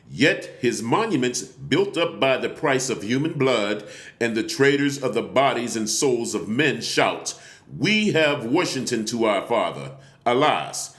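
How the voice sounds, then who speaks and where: An actor playing an indignant, solemn nineteenth-century abolitionist orator, declaiming a formal speech deliberately with dramatic pauses and emphasis. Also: male voice, mid-range voice, natural voice. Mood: grave, mournful. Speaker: actor reading a historical speech. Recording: echoey, roomy sound.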